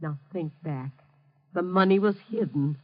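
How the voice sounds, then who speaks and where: An actress playing a bitter, vengeful widow with intense, theatrical delivery in a 1950s radio crime drama. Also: female voice, fairly low pitch, a little slow. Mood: taunting. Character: bitter vengeful widow holding men at gunpoint. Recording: dull vintage radio broadcast recording.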